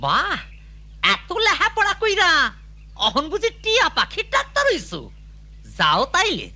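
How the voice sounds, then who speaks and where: A man's voice: very high-pitched, huge pitch swings, measured pace, sharp tone, heavily acted. A man playing a stubborn, complaining elderly shopkeeper father in a cartoon, with a rural Bangladeshi accent. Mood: indignant. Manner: indignant, complaining. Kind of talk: cartoon voice acting.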